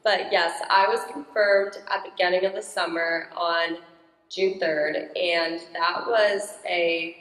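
A teenage girl with a naturally loud, upbeat voice speaks into a handheld microphone. Her slightly low voice rings around a large church sanctuary with long reverb. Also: steady tone, slow. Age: teens. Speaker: young parishioner guest. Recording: echoey church hall.

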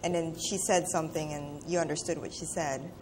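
Eine Frau als Fernsehmoderatorin, die kurze Nachfragen stellt und zustimmend einwirft. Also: female voice, deep voice, fairly even intonation, brisk, noisy background. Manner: brief prompting questions and affirmations. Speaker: TV interview host. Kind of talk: TV interview conversation. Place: TV studio set.

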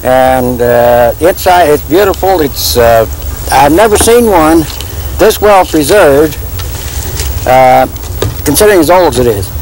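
Older male, sixties, casual halting storyteller, recorded outdoors with some background noise. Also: fairly high pitch, expressive, darkish tone, low-quality recording. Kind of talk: casual chat, reminiscing. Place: outdoor parking lot swap meet. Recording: outdoor noise, passing vehicles.

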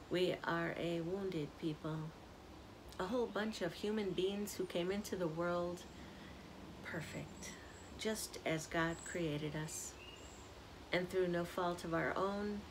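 An older woman, a community minister, giving a gentle spiritual reflection on an outdoor porch, filmed by a camera close up. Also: fairly low pitch, noisy background. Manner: slow, soft-spoken, reflective. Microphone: camera at close range. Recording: outdoor ambience audible behind.

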